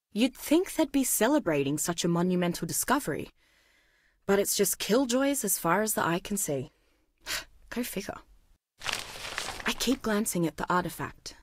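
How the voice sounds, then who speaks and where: A woman's voice: on the low side, husky, no echo, studio quality. An actress playing an inquisitive, analytical woman biologist in a video game, reading journal entries in an articulate, dryly wry way. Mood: uneasy, wry, then pensive, puzzled. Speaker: voice actor.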